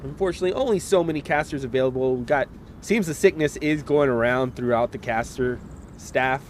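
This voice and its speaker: A man casting a live esports match, relaxed and chatty, talking straight to viewers while getting over a slight cold. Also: medium pitch, fairly quick, slight background noise. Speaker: esports caster.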